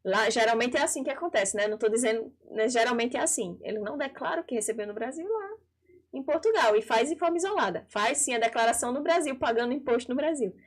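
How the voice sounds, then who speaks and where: Female Brazilian co-host, higher-pitched, conversational and didactic, sets up practical cases. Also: animated, fast. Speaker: co-host of a live show. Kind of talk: conversational live discussion.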